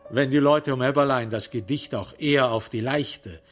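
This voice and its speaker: A man narrating a literary crime radio play in a measured, dramatic way, with pointed pauses. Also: medium pitch, soft background music.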